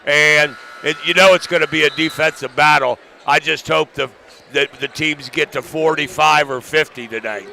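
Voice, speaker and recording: Male color analyst, gravelly and forceful, halting folksy delivery, light gym noise behind. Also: on the high side, fairly even intonation. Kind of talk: sports analysis. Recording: noisy gym with bouncing basketballs.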